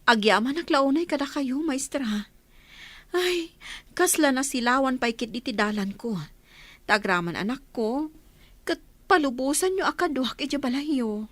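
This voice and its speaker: A woman playing a gentle, long-suffering village mother in a radio comedy-drama, humble, pleading and motherly. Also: high voice, expressive, normal speed, no echo. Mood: humble, grateful.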